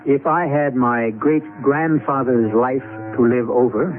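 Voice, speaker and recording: A man's mid-pitched voice comes through a tinny vintage radio recording with music clearly underneath. He narrates like a mystery host, measured and theatrical, posing questions to the listener.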